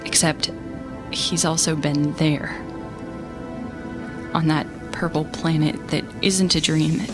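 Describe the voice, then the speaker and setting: Young female captain, exasperated, sarcastic, audio drama narration, slightly low voice, clear music underneath. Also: fairly even intonation, slow pace. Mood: weary, earnest. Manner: sarcastic, bickering, conversational. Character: exasperated young woman space captain telling her story. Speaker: narrator and lead character.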